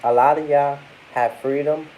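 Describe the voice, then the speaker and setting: Young man, stuffy and a bit under the weather, mellow tone, loose rambling vlog chat, faint hiss. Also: fairly high pitch, fairly even intonation, normal speed, low-quality recording. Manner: rambling, addresses viewers as y'all. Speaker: vlogger. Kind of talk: casual talk to camera.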